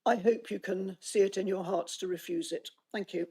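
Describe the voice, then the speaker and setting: Woman, low mellow voice, gravelly, losing her voice, earnest, hesitant. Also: fairly even intonation, moderate pace, a little hiss or hum. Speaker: councillor speaking against an application. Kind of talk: pleading speech at a council meeting.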